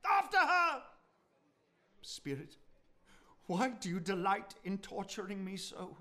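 A tormented old miser, speaks with bitter, vehement anguish. His delivery is on the slow side, in the heightened style of a radio play. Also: high-pitched, very animated, bright, forceful tone, heavily acted. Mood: anguished, furious. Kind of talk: stage-style radio drama acting.

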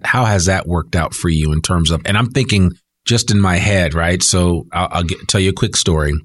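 A man's voice: deep voice, moderate pace, a bit bright, no echo. A man hosting an interview in a casual, chatty way, given to telling little anecdotes. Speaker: interview host.

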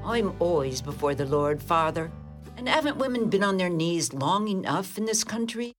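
An actress plays a defiant young postal worker in a radio play. Her fairly low voice has an Irish accent and a cheeky, cutting edge, with faint music behind it. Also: expressive, normal speed, close to an everyday voice.

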